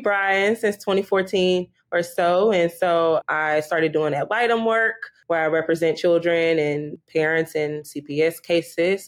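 A woman from Houston, a guest associate attorney, explaining steadily and matter-of-factly in a podcast interview. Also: lowish voice. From Houston, Texas.

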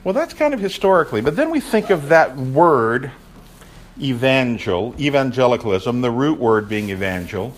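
A man's voice: mid-range voice, slight background noise. A man giving a lecture on church history to an audience in a room. He projects his voice and puts questions to the listeners. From raised in a Baptist family.

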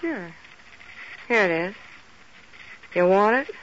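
Sultry hard-boiled radio femme fatale. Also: female voice, mid-range voice, expressive, slow pace. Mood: coolly flirtatious. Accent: American. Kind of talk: 1940s radio detective acting. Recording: dull, noisy old radio recording.

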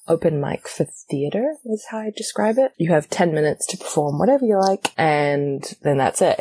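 A woman's voice: low voice, warm tone, no room sound. A woman from New Zealand with a New Zealand accent, chatting casually as an interview guest. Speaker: interview guest, theatre director, producer and actor.

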